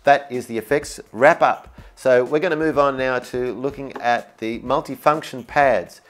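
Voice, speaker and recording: A man's voice: medium pitch, moderate pace. A man giving an upbeat, informal and enthusiastic instructional product demo, explaining features as he goes. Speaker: product demonstrator. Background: keyboard music.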